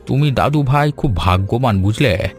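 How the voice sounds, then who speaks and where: A man voicing a mysterious, wise old man who speaks slowly and cryptically in an animated story. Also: mid-range voice, soft background music, lightly acted. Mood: calm, secretive. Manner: slow, unhurried, cryptic.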